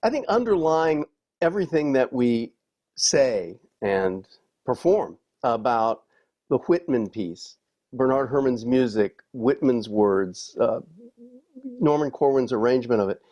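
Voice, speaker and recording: A man offers reflective commentary as if in an interview. His voice is mid-pitched with a dark, mellow tone. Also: big pitch swings, measured pace, lo-fi sound.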